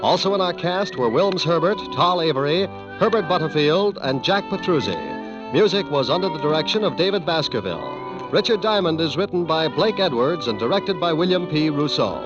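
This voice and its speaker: A man's voice: fairly high pitch, animated, brisk. An American man reading scripted lines as a 1940s radio announcer, formal and emphatic. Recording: muffled 1940s radio recording with music.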